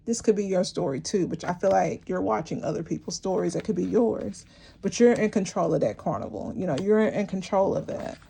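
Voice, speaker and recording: Gravelly-voiced woman reading tarot cards. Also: lowish voice, a little fast, warm tone, slight background noise. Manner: rambling, encouraging, many fillers and restarts. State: losing her voice, clearing her throat. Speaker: tarot reader. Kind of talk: intuitive tarot reading to camera.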